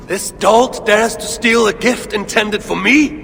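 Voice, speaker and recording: An actor playing a gruff, proud warrior god-king in a video game, speaking in stately, archaic phrases. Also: sits high, measured pace, fairly bright tone, slight background noise, heavily acted. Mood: indignant, commanding. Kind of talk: video game cutscene acting. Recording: music behind, long reverb.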